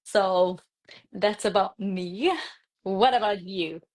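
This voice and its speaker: A woman's voice: medium pitch, slow. A woman from Switzerland chatting as a guest on a live podcast over a video call, talkative, with a ready laugh and filler words. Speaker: podcast guest, fitness coach. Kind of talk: casual live-stream chat.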